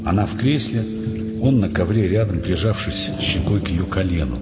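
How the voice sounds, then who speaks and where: Deep-voiced male narrator over music. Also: expressive, moderate pace. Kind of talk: dramatic literary narration for an audio play. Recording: muffled studio track with music behind. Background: prominent music.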